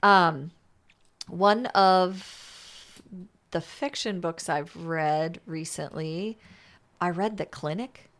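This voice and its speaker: A woman with a slightly low voice hosts a conversational interview. She sounds chatty and informal, circling back to rephrase her thoughts as she talks. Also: extremely slow, fairly bright tone. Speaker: interviewer, podcast host.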